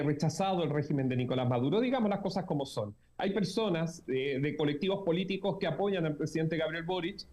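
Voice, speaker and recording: A man's voice: fairly high pitch, a little mellow. A Chilean man with a Chilean Spanish accent, a journalist speaking as a guest in a political interview, earnest and emphatic, repeating key words.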